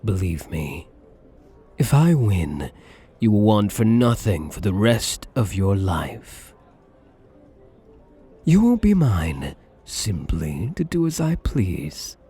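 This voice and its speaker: A man playing a smug, possessive wealthy gambler in an intimate ASMR roleplay, suave and teasing with a breathy, husky voice. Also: on the low side, very animated, takes it slowly, long pauses, dark tone, soft background music, full character voice. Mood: seductive, possessive. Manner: suave, teasing, theatrical endearments. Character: possessive, smug, wealthy male gambler doting on captive lover.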